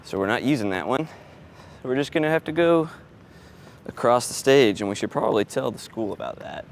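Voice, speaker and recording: A man's voice: mid-range voice, animated, a little fast. A man working as an audio technician, talking casually as he sets up equipment in a school gymnasium. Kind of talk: casual talk while working. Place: school gymnasium during event setup. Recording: noisy on-location audio, clear background noise.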